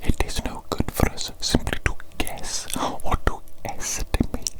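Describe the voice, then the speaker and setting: A man in a whispered ASMR roleplay, playing a fussy, pedantic evil doctor who repeats himself slowly and precisely. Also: close to an everyday voice. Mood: fussy, coaxing. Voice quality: soft unvoiced whisper. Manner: slow, repetitive, fussy and precise.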